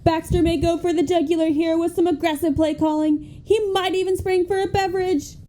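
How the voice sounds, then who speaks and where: A keen female sports commentator in a radio comedy, played by a young woman, with a high voice and a soft, mellow edge. She analyzes every move in grave earnest, leaning hard on her points. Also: fairly even intonation, fairly quick. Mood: earnest analysis. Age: young adult. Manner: earnest, emphatic analyst delivery.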